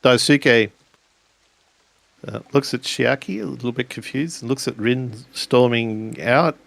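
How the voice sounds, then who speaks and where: A man narrates a tabletop game in a gravelly voice. He takes his time, phrasing each action haltingly and deliberately, in a dry, close sound. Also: medium pitch, no room sound. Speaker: tabletop role-playing player narrating characters.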